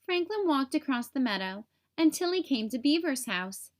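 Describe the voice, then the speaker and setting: A woman reading a picture book aloud to young children, with expressive, simple storytelling. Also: sits high, moderate pace.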